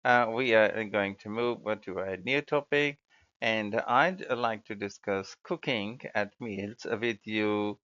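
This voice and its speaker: A man acting as examiner, putting formal questions politely and haltingly, on a dull recording. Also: lowish voice, a bit bright. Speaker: speaking test examiner. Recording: dull lo-fi audio.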